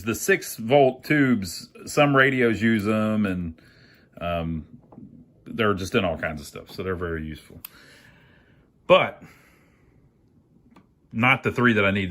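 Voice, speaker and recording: A man's voice: lowish voice, sharp tone. A man narrating a hands-on repair video in a casual, folksy way, thinking aloud as he works. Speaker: host of an amateur electronics repair channel.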